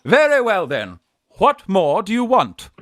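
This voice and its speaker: A man's voice: high voice, wildly swooping pitch, a little slow, fairly bright tone, no echo, clean studio sound, exaggerated voice. An actor playing a young man posing as a genteel aunt in a Victorian farce, flustered, coy and haughty. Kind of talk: Victorian farce radio acting.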